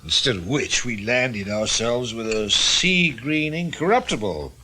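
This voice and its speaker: Older British ex-spy for radio drama: husky voice, reflective, loyal, gently teasing. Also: male voice, medium pitch, expressive. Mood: wry, teasing. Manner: musing, measured, gently teasing. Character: wry, reflective older British ex-intelligence officer, loyal, world-weary. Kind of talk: naturalistic radio drama acting.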